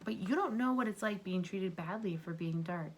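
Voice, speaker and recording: A woman's voice: medium pitch, normal speed, breathy edge. Woman reading as Night, a hurt, sorrowful sister. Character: Night, hurt dark sister who feels mistreated.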